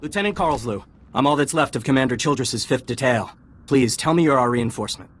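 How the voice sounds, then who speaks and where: An actor playing an army lieutenant in a video game, giving urgent commands. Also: male voice, medium pitch, animated, brisk, a little hiss or hum, close to an everyday voice. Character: brisk male Republic army lieutenant. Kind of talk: game cutscene acting.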